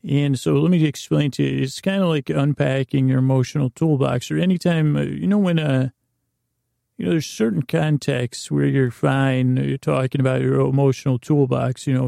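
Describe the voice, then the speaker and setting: A man hosting a bedtime podcast in a creaky, lulling tone, meandering and halting with long pauses. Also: mid-range voice, mellow, dry recording, studio quality. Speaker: podcast host. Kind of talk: rambling bedtime-story podcast monologue.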